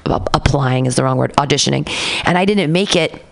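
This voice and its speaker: A woman hosting a casual radio chat with a notably low everyday voice. She talks in a chatty, expressive way and jumps sharply up in pitch when she acts out her stories. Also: a little fast, gravelly. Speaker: host.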